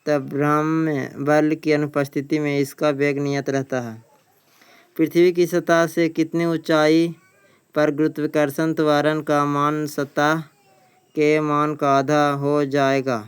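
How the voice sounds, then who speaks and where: A man teaches by reading out quiz questions and their answers. His voice is strikingly clean and smooth, pitched a little high, with very little melody. He is plain-spoken and often repeats a phrase. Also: little pitch movement, slow. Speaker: teacher, exam coaching.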